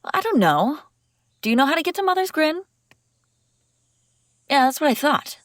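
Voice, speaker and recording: A woman's voice: high voice, very animated, normal speed, no room sound, studio quality. A woman playing a haughty, wry young princess on the run in an acted fantasy audio roleplay, bantering with exasperated sarcasm. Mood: teasing, exasperated. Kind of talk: acted audio roleplay, one-sided dialogue.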